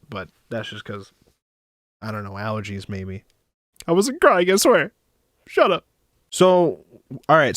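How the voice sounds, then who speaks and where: A man's voice: fairly high pitch, fairly bright tone, no room sound, studio quality. A man hosting a casual livestream, chatting informally and swearing now and then. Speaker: streamer, host.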